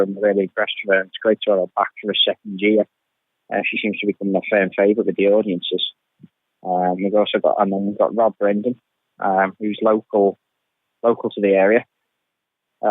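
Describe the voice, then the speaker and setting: A man with a fairly low voice gives informal interview answers over a thin, phone-like sound. The sound is dry, and he often doubles back and repeats his words as he explains things. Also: no room sound. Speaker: interviewed pantomime producer.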